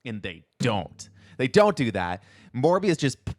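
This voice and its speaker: A man's voice: mid-range voice, sing-song, unhurried, dry recording, clean studio sound. A man co-hosting a podcast, chatting casually about pop culture in a rambling way full of filler words. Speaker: podcast co-host.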